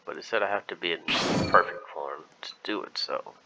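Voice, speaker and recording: A man's voice: deep voice, fairly quick, creaky, heavy background noise. A young man giving casual, off-the-cuff gameplay commentary as a gaming YouTuber.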